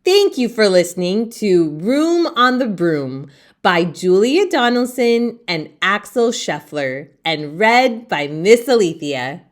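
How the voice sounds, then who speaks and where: A woman reads a children's story aloud in a theatrical way. She takes it very slowly, phrase by phrase, with wide swings in pitch. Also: big pitch swings. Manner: theatrical, deliberate phrasing for young listeners. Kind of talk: expressive children's read-aloud narration.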